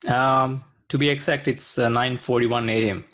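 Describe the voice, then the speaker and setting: A man's voice: mid-range voice, steady tone, normal speed, a crowd in the background. A man from Sri Lanka speaking as a guest in a casual video-call interview, hesitant and full of fillers. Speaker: guest, IT consultant.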